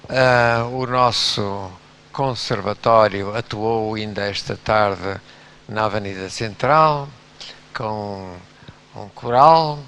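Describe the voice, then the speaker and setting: Bright, slow man, faint hum. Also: fairly low pitch. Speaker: pastor. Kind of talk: church address.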